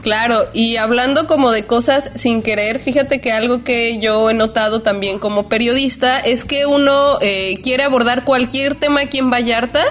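High-voiced Mexican woman interviewer. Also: fairly even intonation, normal speed, hardly any pauses, a bit bright. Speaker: journalist interviewer. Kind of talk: conversational interview.

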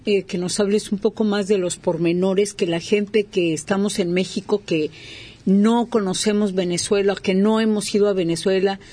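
A woman's voice: medium pitch, dark tone. A woman hosting a talk show, conversational and full of little fillers.

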